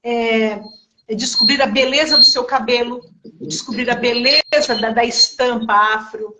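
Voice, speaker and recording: Chatty higher-voiced woman interviewer. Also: steady tone, a little slow, pushed and bright, poor audio. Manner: chatty, frequent tag questions. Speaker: interview host. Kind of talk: casual live-stream interview. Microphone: remote call over a live stream.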